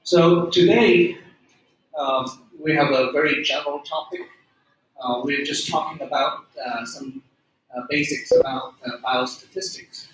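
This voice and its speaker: A man's voice: a little high, unhurried. Hesitant male lecturer. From Hong Kong. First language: Cantonese. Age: middle-aged. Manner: hesitant, many fillers and restarts. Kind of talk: classroom lecture. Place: lecture room. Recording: echoey room, faint noise.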